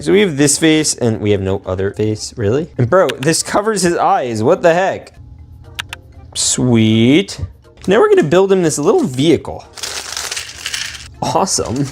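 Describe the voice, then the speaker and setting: A man's voice: medium pitch, a little mellow. A man hosting a casual toy unboxing video with playful, exclamatory, slangy commentary. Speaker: host of a toy building video. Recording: background music under the voice.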